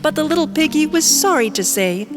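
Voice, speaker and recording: A woman's voice: high-pitched, very animated, fairly quick. A woman narrating a children's fairy tale as a scripted storyteller. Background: music.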